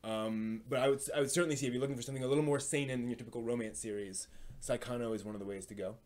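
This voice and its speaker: A man hosting a live stream, chatting casually and giving recommendations in a halting way, often thinking aloud. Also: medium pitch, fairly even intonation, darkish tone. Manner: halting, thinks aloud, hums filler syllables while searching.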